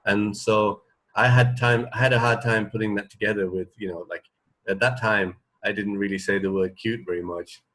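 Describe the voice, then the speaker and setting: Male co-host, middle-aged, lower voice, loose conversational delivery that feels its way through each thought. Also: fairly even intonation, fairly bright tone, rough recording. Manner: tentative, halting, thinking aloud. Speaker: radio show co-host. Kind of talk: casual chat.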